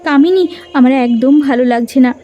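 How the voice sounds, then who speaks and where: A woman's voice: sits high, normal speed, lo-fi sound, slight background noise, close to an everyday voice. A woman voicing a young younger sister who speaks hesitantly and with regret in a cartoon drama. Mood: remorseful. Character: young younger sister, greedy then remorseful.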